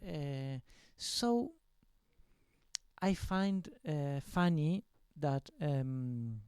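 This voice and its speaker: Slow, halting man. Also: fairly high pitch, animated, a little mellow, clear, resonant tone, no echo, studio quality. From Argentina. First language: Spanish. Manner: hesitant, many fillers and restarts. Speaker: musician being interviewed. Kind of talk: casual interview chat. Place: record store at a release party.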